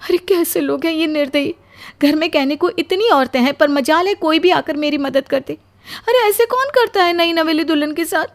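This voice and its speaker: A woman acts out a young newlywed wife in a cartoon melodrama. The voice is extremely high and plaintive, full of weary complaint at being put upon. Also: moderate pace. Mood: exasperated. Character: exhausted, put-upon young newlywed bride, aggrieved and tearful. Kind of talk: melodramatic cartoon story acting.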